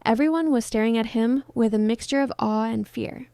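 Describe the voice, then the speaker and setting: Woman reading a dramatic story, highish voice, really soft warm tone. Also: moderate pace, creaky, smooth, no room sound, clean studio sound. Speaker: story narrator. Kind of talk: scripted dramatic story narration.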